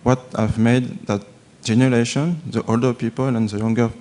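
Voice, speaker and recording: A man from France in his thirties, with a French accent, asking a question haltingly in a temple hall. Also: mid-range voice, steady tone, dark tone. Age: 30s. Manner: hesitant, halting, many fillers and restarts. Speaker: audience questioner.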